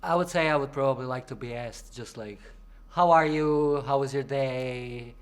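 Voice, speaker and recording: A young man from Croatia who performs in drag talks through interview questions in a loose, chatty way. He laughs easily and mimics other people in bright, exaggerated tones when quoting them. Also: moderate pace. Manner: chatty, playful, acts out quoted people in high voices. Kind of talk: casual interview answers.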